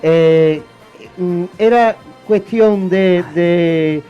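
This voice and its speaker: A man joining a casual radio discussion as a contributor, halting as he searches for his words. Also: high-pitched, muffled recording, soft background music.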